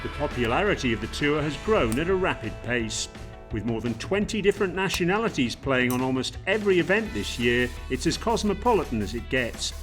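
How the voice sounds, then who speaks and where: A man narrating a golf highlights feature in a smooth, measured, scripted delivery. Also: big pitch swings. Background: guitar music.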